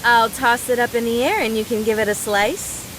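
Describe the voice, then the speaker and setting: A woman's voice: a little high, normal speed, a bit bright, slight background noise, lightly acted, poor audio. A woman voice-acting a brash, mischievous young woman fighter from a video game, teasing in a playful, folksy, mock-serious way.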